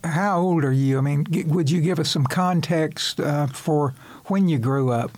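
A man's voice: a little high, unhurried, a little mellow, no echo. A man conducting a radio interview, asking questions in a hesitant way with stammered restarts.

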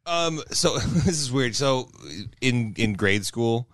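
A man's voice: medium pitch, expressive, slow pace, a bit bright, dry recording. A man in his thirties on a podcast, telling a rambling, self-mocking story in a casual way. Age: 30s. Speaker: co-host.

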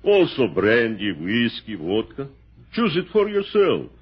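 An actor playing a courteous Russian film director in a radio drama, with a Russian accent and slow, deliberate English. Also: male voice, mid-range voice, huge pitch swings, breathy edge, full character voice. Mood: courteous, relaxed. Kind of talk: 1960s radio drama acting. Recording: muffled old radio broadcast.

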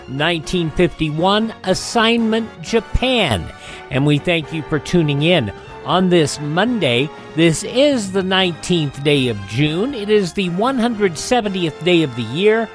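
An American man hosting a radio program and reading items in an announcer-like way, with some hesitations. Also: sits high. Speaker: radio show host.

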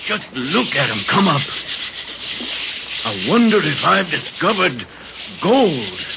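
An actor voicing an excitable, irritable American frontier sawmill builder in 1930s radio style. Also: male voice, on the high side, takes it slowly, vocal fry, heavily acted. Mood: amazed. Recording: muffled, hissy 1930s radio recording.

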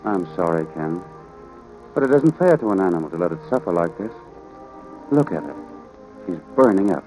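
A man's voice: deep voice, big pitch swings, moderate pace, a little mellow, soft background music, poor audio. An actor playing a stern, sorrowful middle-aged rancher father in a 1940s radio drama. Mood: grave, resigned. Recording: old 1940s radio broadcast.

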